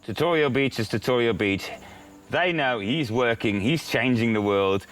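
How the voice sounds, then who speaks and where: Animated male podcast guest, Dutch mother tongue, storytelling, lively delivery, faint music under. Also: medium pitch, moderate pace. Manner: lively, animated, breaks into exclaimed sayings. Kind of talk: casual storytelling.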